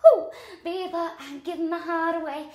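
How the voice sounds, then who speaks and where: A woman playing a perky, oblivious teen show-choir girl who chats fast and sings pop. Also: very high-pitched, fairly even intonation, darkish tone, echoey room. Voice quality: perky, chirpy, singing pop. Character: lone perky teen glee singer, cheerfully oblivious.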